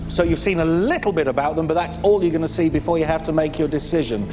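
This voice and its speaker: A man's voice: on the high side, quick pace. A man hosting a TV quiz show in an upbeat, bantering presenter's style. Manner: jocular, bantering with contestants. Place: TV studio with audience. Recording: loud music and audience behind the voice.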